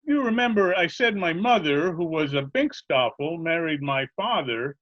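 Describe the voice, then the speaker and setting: A man's voice: on the high side, lively intonation, moderate pace, lightly acted. A man playing a genial, scatterbrained butcher in old-time radio comedy style, chatty, earnest and politely literal-minded. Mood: chatty, obliging. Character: genial scatterbrained male butcher who muddles every question. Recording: dull remote video-call recording.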